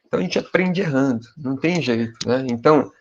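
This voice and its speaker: A man in his forties with a Brazilian Portuguese accent and a gravelly, mid-range voice advises graduate students in a relaxed, conversational way. He addresses his viewers directly and often adds little tags asking if they follow. The audio is a bit dull and lo-fi. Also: mid-range voice, fairly quick. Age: 40s. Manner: speaks directly to viewers as "pessoal". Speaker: university professor. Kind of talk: advice talk to graduate students.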